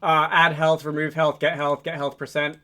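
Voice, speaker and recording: A man hosting a casual coding tutorial, thinking aloud in a halting, improvised way with frequent hesitations. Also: fairly high pitch, little pitch movement, sharp tone. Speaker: tutorial host, game developer.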